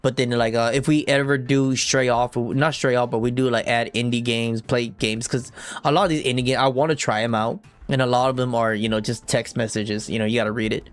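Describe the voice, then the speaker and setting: A young man streaming a game, chatting loosely with viewers and reading story text aloud, sometimes in a deep half-whisper. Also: steady tone, brisk, clear, resonant tone. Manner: rambling, slangy, reads dialogue in a deep whisper. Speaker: gaming streamer.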